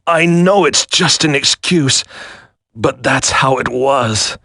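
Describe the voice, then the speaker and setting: Embittered soldier haunted by guilt, slow solemn confession, game drama, dry close sound. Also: male voice, mid-range voice, bright, forceful tone, dry recording, full character voice. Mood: defensive, pleading. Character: guilt-ridden embittered male soldier turned terrorist confessing. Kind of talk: dramatic video game voice acting.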